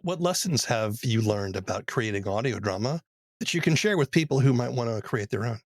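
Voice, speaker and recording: A man's voice: mid-range voice, expressive, fairly quick, dry recording. A man hosting an audio drama podcast, asking questions and then reading the scripted outro plainly. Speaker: podcast host. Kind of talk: interview and show outro.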